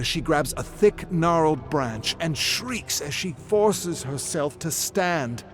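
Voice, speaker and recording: A man narrating a scripted story in a dramatic style. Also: mid-range voice.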